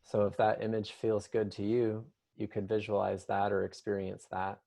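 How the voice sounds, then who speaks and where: A man leading an improvised, conversational guided meditation in a gentle, unhurried manner with long pauses. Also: on the low side, monotone, vocal fry.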